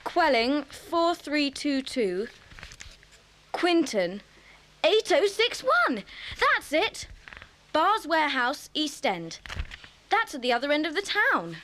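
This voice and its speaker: A woman playing an excitable, eager child detective in a children's audio drama. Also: sits high, slow pace, exaggerated voice. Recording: hissy old cassette recording.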